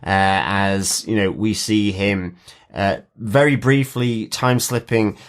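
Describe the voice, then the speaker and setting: A man co-hosting a podcast, talking through a TV recap in a casual, halting, measured way. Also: lowish voice. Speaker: podcast co-host.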